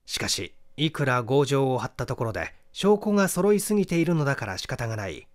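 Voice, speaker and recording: A man's voice: medium pitch, very animated, brisk. A man giving a dramatic scripted reading of a classic mystery novel as an audiobook narrator. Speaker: audiobook reader, small-theater actor.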